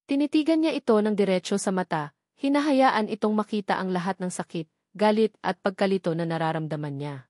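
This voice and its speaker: A woman tells a scripted love story as a dramatic narrator. Her phrasing is deliberate and broken into short, weighted lines. The sound is dry, with no room around it. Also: medium pitch, darkish tone, no room sound.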